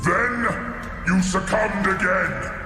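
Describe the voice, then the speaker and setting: A man's voice: high-pitched, animated, heavily acted. A man playing a taunting, tempting demon of a war god in an audio drama, with menacing, grandiose delivery in a vast echoing space. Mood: sneering, taunting. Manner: slow, menacing, grandiose declamation. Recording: cavernous echoing reverb over loud music.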